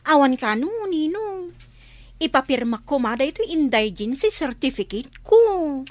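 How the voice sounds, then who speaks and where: A woman as a high, animated young villager. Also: a little slow, no room sound. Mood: earnest, troubled. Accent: Ilocano. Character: earnest young village goddaughter seeking a certificate. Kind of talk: Ilocano radio comedy drama acting.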